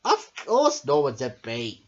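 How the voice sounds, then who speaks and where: A woman voicing innocent, excitable little bees with childlike voices in a children's story. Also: extremely low pitch, slow pace, highs cut off, exaggerated voice. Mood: trusting.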